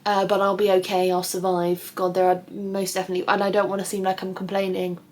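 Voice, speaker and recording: A woman's voice: mid-range voice, monotone, quick pace, darkish tone. A young English woman in her twenties chatting casually to camera in her bedroom, rambling and swearing with a self-described whiny voice. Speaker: vlogger. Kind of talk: casual vlog chat. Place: bedroom at home.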